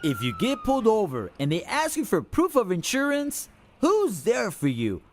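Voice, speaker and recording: A man's voice: very high voice, wildly swooping pitch, moderate pace, a little hiss or hum, pitched above the performer's own voice, heavily acted. A man voicing an eager, overlooked insurance mascot in a comic commercial, with a plaintive, emphatic delivery. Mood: eager, insistent. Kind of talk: comic commercial character acting.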